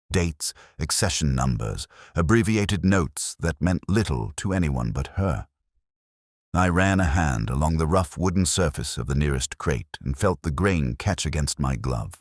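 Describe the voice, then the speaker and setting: A man narrating a scripted first-person detective mystery in a solemn, literary, measured way. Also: very deep voice, no room sound, clean studio sound.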